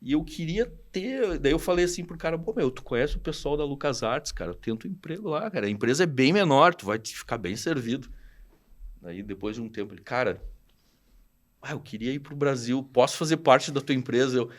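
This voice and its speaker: A man in his forties with a southern Brazilian accent, a podcast guest telling old stories in a slangy, animated way and acting out what people said. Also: medium pitch, a little fast. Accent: southern Brazilian Portuguese. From Rio Grande do Sul, Brazil. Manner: animated, slangy, acts out quoted dialogue. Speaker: podcast guest, studio founder. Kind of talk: casual storytelling chat.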